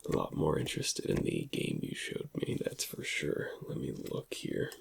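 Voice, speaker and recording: Whispering man with heavy gravelly creak. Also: extremely low pitch, sing-song, a little hiss or hum. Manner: slow, hushed, lingering delivery. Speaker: ASMR roleplay performer. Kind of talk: whispered ASMR roleplay.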